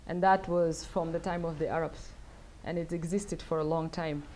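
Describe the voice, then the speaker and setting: A middle-aged woman from South Sudan answering audience questions at a lectern, speaking thoughtfully with many pauses and fillers. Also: low-pitched, steady tone, mellow, slight background noise. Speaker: guest speaker. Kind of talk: lecture Q&A answers. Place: wood-paneled event room, at a lectern. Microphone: lectern mic.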